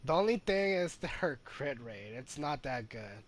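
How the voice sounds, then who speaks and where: A man's voice: mid-range voice, sing-song, normal speed, slight background noise. A man hosting a gaming video with casual, informal commentary, repeating points for emphasis.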